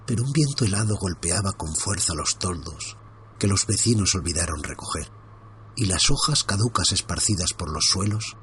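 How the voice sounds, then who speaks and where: Low-voiced guy narrating a creepy story, tense and hushed, bit of faint noise behind. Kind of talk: first-person horror story reading.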